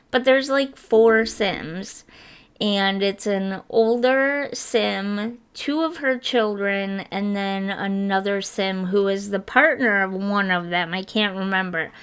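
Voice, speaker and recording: A woman talking over a building game in an unhurried, meandering way, full of little fillers and asides. Her voice is smooth and clear underneath but sounds slightly rough and stuffy today. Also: mid-range voice. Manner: chatty and apologetic, rambling with fillers. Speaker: gaming video creator. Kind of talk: casual voice-over commentary over a speed build.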